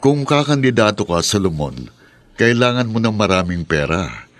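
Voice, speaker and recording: Pushy Filipino schemer, animated intonation. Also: male voice, fairly low pitch, normal speed, faint music behind. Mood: coaxing, impatient. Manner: insistent, persuasive. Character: pushy, scheming Filipino friend urging a gamble. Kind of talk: Tagalog radio drama acting.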